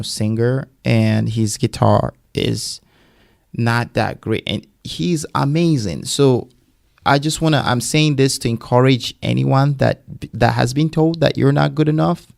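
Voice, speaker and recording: A man's voice: medium pitch, measured pace, creaky, no echo, studio quality. A man, a singer and podcast guest, talking casually and emphatically about his music and life. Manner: animated, emphatic storytelling with laughs.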